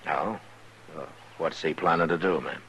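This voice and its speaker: An actor playing a weary, principled frontier marshal, terse and dry, in a vintage Western radio drama. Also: male voice, a bass voice, moderate pace, vocal fry, bright, forceful tone, a little hiss or hum, exaggerated voice. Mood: attentive. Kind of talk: 1950s radio drama acting, some narration.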